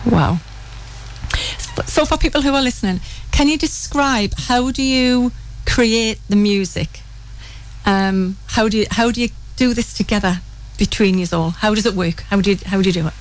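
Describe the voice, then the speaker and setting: A woman's voice: medium pitch, normal speed, a little hiss or hum, low-quality recording. A woman hosting a casual interview, chatty and enthusiastic, often restarting her questions. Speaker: radio host.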